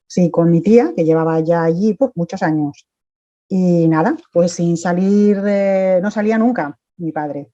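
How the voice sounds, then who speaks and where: A woman's voice: on the low side, a little slow, dark tone, dry recording, muffled recording. A woman from Mexico, a guest in a casual interview, chatty and talking in long run-on stretches.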